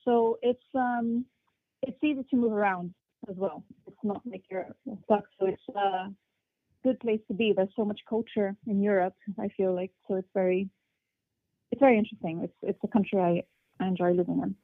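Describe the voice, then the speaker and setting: A woman's voice: medium pitch, fairly even intonation, moderate pace, no room sound. A young adult woman with a mixed international English accent, answering interview questions thoughtfully, with fillers and restarts. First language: English and Portuguese. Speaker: flautist guest. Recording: tinny phone-like line.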